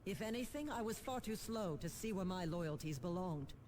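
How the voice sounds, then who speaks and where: A woman's voice: on the low side, expressive, normal speed, darkish tone, natural voice. Woman playing a composed administrator over noisy ambience. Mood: indignant. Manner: formal, crisp, dry irony. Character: composed, dry, determined female government administrator. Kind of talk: scripted video game acting.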